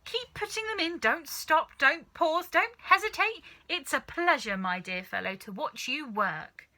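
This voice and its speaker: A woman voicing an excitable, impatient, bossy father in a children's story, with urgent exclamations. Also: high-pitched, measured pace, pitched above the performer's own voice, heavily acted. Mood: gleeful, urging.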